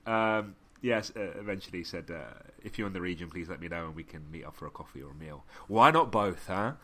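A man's voice: low-pitched, fast talker. A man co-hosting a casual podcast, reading listener messages aloud and joking and laughing as he goes. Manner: joking and laughing often, relaxed slangy talk. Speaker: podcast co-host.

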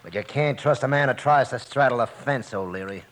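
An actor playing a defiant, scheming young cowboy with an American drawl in a 1950s radio western. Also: male voice, mid-range voice, a little fast, fairly bright tone, lightly acted. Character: hot-headed, scheming young cowboy feuder. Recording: faintly noisy old radio recording.

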